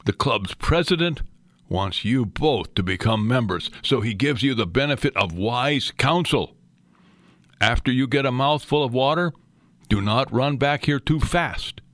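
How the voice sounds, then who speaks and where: A man's voice: mid-range voice, animated, measured pace, fairly bright tone, no room sound. The self-important Scottish drill master of a backyard club in an audiobook memoir, as a male narrator puts him on, formally issuing pompous instructions to his recruits. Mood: mock solemn. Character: bossy boy club leader playing solemn Scottish drill master.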